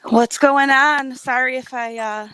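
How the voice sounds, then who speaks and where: Female guest on a call, hoarse and sleep-deprived, speaking from a parked car, full of fillers. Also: high-pitched, fairly even intonation, a little slow, a bit bright, slight background noise, rough recording. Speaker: guest caller. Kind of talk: casual chat, giving updates. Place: in her car.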